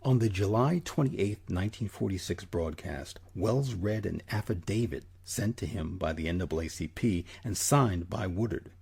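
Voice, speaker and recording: A man with a husky voice delivering scripted documentary narration as the host. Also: low voice, normal speed, hardly any pauses, darkish tone.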